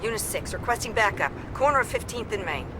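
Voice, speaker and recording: A man's voice: sits high, lively intonation, fast. An actor voicing a harried male patrol officer making urgent radio reports in a video game. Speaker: police officer. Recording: radio call over loud noise.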